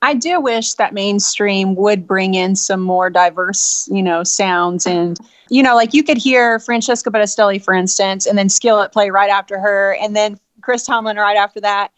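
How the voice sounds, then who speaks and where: A woman singer with a low voice, giving earnest interview answers full of "you know". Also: normal speed, dry recording, low-quality recording.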